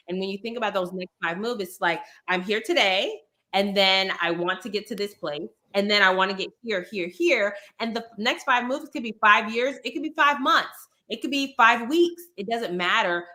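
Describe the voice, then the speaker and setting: A woman's voice: medium pitch, bright, forceful tone. A woman answering as a podcast guest in a conversational, emphatic way, often speaking in short repeated phrases. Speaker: podcast guest, entrepreneur and sales expert.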